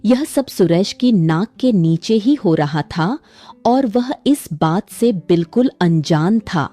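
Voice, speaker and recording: A woman's voice: mid-range voice, animated, slow pace, mellow, dry recording. A woman reading a scripted moral story as a dramatic narrator.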